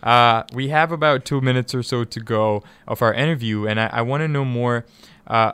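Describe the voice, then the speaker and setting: A man's voice: mid-range voice, fairly bright tone, smooth. A man from East Africa hosting a conversational radio interview, effusive and given to repeating himself for emphasis. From East Africa (Tanzania and Kenya). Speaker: radio show host and interviewer. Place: radio studio.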